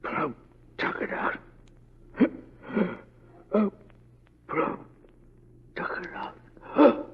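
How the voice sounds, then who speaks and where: An actor playing a grizzled, folksy old deputy sidekick in a 1940s western radio drama. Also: male voice, very slow, long pauses. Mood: weak, dying. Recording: dull old radio recording.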